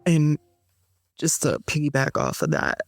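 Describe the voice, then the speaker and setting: A woman guest and performer in a reflective interview, speaking slowly and pausing to find her words, with slangy asides. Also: low-pitched, very animated, no room sound, clean studio sound, soft background music.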